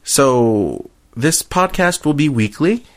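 Male podcast co-host. Slow delivery, animated intonation, informal and jokey. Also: on the high side. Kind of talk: casual unscripted co-hosted chat.

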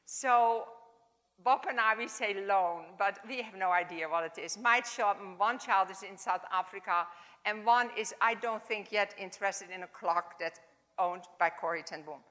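A woman in her seventies with a Dutch accent, giving an informal, halting chapel talk in English as a second language. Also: medium pitch. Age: 70s. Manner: warm, halting, non-native phrasing. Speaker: chapel speaker. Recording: long hall reverb.

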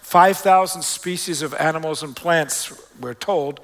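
Male pastor, slightly high-pitched, conversational preaching. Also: measured pace, fairly bright tone. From Australia. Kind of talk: sermon to a congregation. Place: church, preaching before a congregation.

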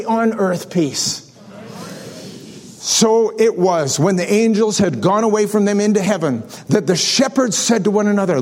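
A man in his sixties delivers a sermon, moving from scripture reading to self-deprecating stories. His voice sits high for a man. Long reverb from the hall trails each phrase. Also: darkish tone. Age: 60s. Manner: storytelling, self-deprecating humor. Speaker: pastor.

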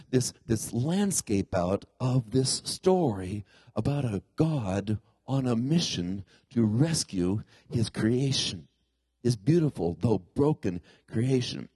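A man's voice: mid-range voice, dark tone, slightly rough, no echo. A middle-aged man, a pastor, preaching a conversational sermon in a congested voice that he puts down to a pulled tooth, often putting questions to the congregation. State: congested from a cold-like ailment after a tooth extraction.

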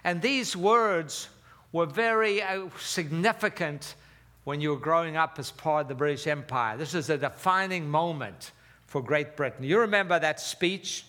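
A man's voice: fairly high pitch, normal speed, pushed and bright. A man in his seventies, born in South Africa, preaching a conversational sermon with fillers and some slips. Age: 70s. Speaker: pastor.

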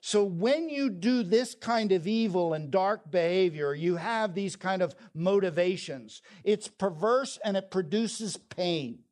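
A man's voice: sits high, expressive, a little slow, few pauses, a little mellow. A man preaching a sermon, telling a story and quoting scripture with emphasis. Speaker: pastor.

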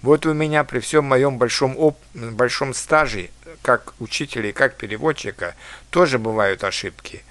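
Male language teacher, lecture delivery, measured, mid-pitched voice, dry close recording. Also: a bit bright, no room sound. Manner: measured, reads foreign phrases slowly and carefully.

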